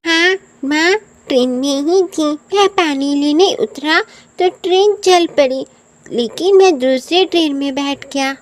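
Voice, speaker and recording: A woman plays a young village boy from a moral story cartoon. The voice is very high and childlike. He speaks in an earnest, plaintive, slightly anxious way. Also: unhurried.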